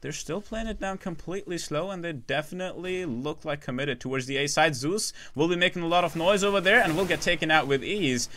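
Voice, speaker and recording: Bright-voiced solo esports caster, chatty. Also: male voice, on the high side, slight background noise. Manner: rapid, chatty, self-interrupting, jokes with chat. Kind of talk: solo live game commentary.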